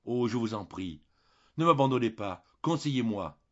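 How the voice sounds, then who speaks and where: A man's voice: mid-range voice, animated, moderate pace, muffled recording, natural voice. A man voicing a timid, distressed countess, a wronged wife who pleads plaintively and helplessly. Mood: pleading, frightened.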